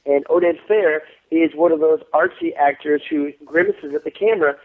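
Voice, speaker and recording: A man's voice: a little high, moderate pace. A man in his thirties, an actor giving an interview, telling stories with lots of swearing and boasting, acting out what others said. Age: 30s. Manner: profane, boastful, animated storytelling with quoted voices. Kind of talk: casual phone interview. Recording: tinny phone line.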